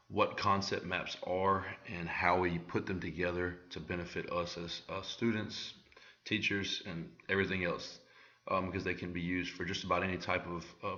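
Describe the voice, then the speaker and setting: Hoarse male educator, very low voice, halting delivery, lo-fi screen-capture audio. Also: pushed and bright. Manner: halting, with frequent ums and uhs. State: hoarse from a head cold. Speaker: educator presenting a screen recording. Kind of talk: screen-recorded tutorial walkthrough.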